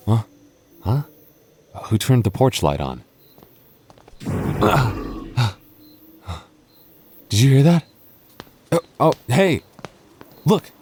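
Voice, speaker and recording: A man playing a young goth boyfriend, protective, playful and easily flustered, in an intimate ASMR roleplay with halting, earnest delivery. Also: mid-range voice, big pitch swings, a little mellow, a little hiss or hum. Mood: startled, excited.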